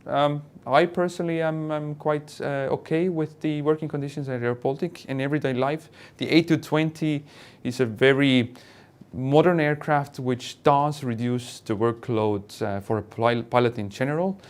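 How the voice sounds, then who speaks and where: A man speaking as an airline pilot and instructor, answering questions in an online Q&A in a hesitant, measured way. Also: medium pitch, steady tone.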